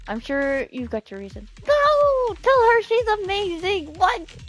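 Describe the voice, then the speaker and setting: A woman's voice: very high-pitched, sing-song, measured pace, a bit bright, faint music behind. A woman streaming a game, playful and exclamatory as she reacts and chats with viewers.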